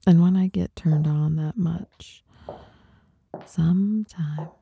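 A woman whispers breathily, right up on the mic, in a dark, mellow tone with heavy crackling fry. She speaks very slowly, seductive and teasing, with soft authority in an intimate ASMR style. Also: fairly low pitch, dry recording, highs cut off. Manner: seductive, teasing, softly dominant. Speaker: performer of adult audio recordings. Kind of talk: intimate adult ASMR monologue. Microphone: close microphone.

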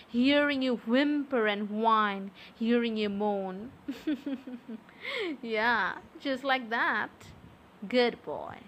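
A young adult woman speaking softly and close to the mic in an intimate audio roleplay, with a teasing, coaxing manner. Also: fairly high pitch, slight background noise. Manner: soft, slow, teasing and coaxing.

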